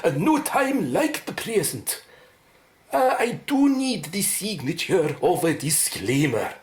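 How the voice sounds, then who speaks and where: A woman acting in a radio drama as a proud, excitable medical scientist who speaks in a formal, precise, showy way. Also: lowish voice, slow, reverberant. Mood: eager, courteous.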